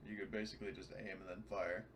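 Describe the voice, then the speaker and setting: A man's voice: deep voice, little pitch movement, quick pace. A man chatting casually as a player in a tabletop roleplaying game. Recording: faint background noise.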